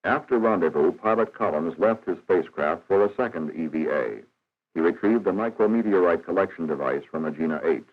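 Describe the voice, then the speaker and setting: Very deep-voiced man, vintage film narration. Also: lively intonation. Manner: formal, measured, matter-of-fact. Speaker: documentary narrator. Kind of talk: scripted 1960s educational film narration. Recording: dull, band-limited 1960s film soundtrack.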